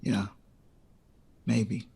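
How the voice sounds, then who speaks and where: An actor in an audio drama playing a hurt, resentful young composer with a sarcastic, wounded manner. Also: male voice, very slow, very noisy. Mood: quiet, resigned. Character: hurt, resentful young jazz composer breaking with his mentor.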